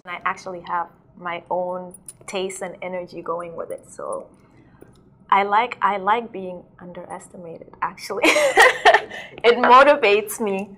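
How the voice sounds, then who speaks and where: A woman's voice: lowish voice, fairly even intonation, measured pace, a bit bright, soft background music. A young woman from South Sudan, a DJ and model, chatting casually in an interview, saying "like" a lot and laughing often.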